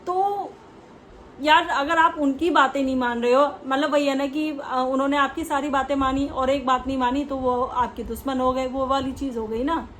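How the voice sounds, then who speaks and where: A woman's voice: high-pitched, fairly even intonation, moderate pace, a bit bright, slight background noise, poor audio. A woman hosting a reaction video, giving casual, conversational opinion commentary in an explanatory way, mixing in English words.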